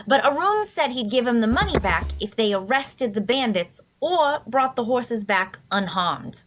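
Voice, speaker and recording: Actress as chatty, prying young fantasy heroine, fairly high voice, very expressive. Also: female voice, a little slow, background noise. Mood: inquisitive, probing. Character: small, chatty, inquisitive young woman adventurer.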